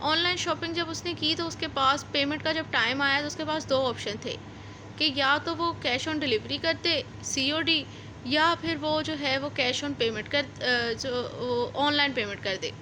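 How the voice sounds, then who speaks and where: A woman with a high voice and a Pakistani accent teaches in an explanatory lecture style. She repeats and rephrases her points informally for learners. Clear background noise sits behind her voice. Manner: reads formal text slowly, then explains informally with repetition. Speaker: law lecturer.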